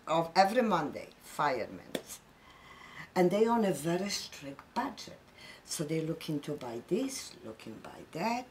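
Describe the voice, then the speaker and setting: Woman, Polish accent, middle-aged, earnest storyteller, lively swooping pitch. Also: slight background noise. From Poland. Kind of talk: casual spiritual chat.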